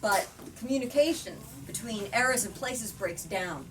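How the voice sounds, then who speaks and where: A woman storyteller reading a novel aloud to listeners with dramatic, deliberate phrasing. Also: mid-range voice, expressive. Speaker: storyteller, book talk leader. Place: bookstore. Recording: distant mic, clear background noise.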